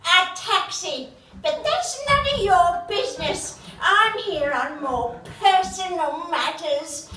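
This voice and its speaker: A woman playing a dotty, secretive patient in a live comedy play, halting and oblivious as she confides. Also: extremely high pitch, animated, sharp tone, heavily acted. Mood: prim, confiding. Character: dotty, hard-of-hearing, secretive female therapy patient. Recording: echoey, distant stage recording, background noise.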